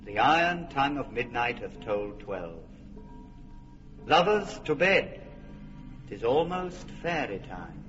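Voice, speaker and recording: An amused nobleman who remarks on the players with a bright, lively voice. The old broadcast is dull and reverberant, with faint music underneath. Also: medium pitch, slow, long pauses. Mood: wry. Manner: wry, amused commenting. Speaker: nobleman watching a play. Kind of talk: classic radio drama.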